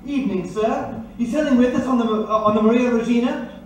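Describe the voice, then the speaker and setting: A man acts out an obliging, chatty crewman in a radio play. The voice is strikingly high for a man and politely deferential. It echoes strongly, a bit away from the mic. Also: a little fast. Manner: polite, helpful. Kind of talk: old-time radio drama acting. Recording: echoey room, distant, faint background noise.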